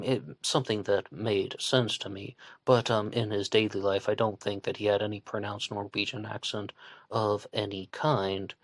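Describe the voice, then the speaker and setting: A man from West Virginia with a low voice and a light West Virginian accent talks through a true crime case in a loose, conversational way, often hedging and circling back mid-sentence. His voice is close and dry, with no room sound around it. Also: dry recording. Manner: conversational, thinking aloud with hedges and restarts. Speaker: podcast host.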